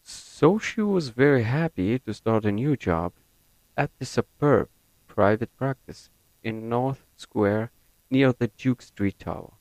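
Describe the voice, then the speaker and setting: Slow, careful Kurdish-speaking young man. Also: medium pitch, creaky, darkish tone, no echo, rough recording. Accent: American-leaning non-native English. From Syria. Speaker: university student. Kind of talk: reading a set passage, then personal account.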